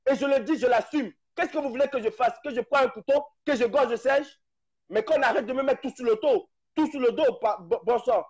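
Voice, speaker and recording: A man's voice: very high voice, husky. A man speaking passionately on a live stream, hammering points with rhetorical questions and repetition. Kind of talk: impassioned political talk. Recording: dull lo-fi live stream audio.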